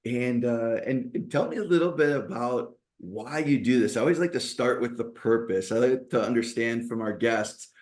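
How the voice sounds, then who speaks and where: Male host, mid pitch; enthusiastic, rambling questions with frequent restarts. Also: moderate pace, few pauses, darkish tone. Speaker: podcast host. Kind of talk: interview host.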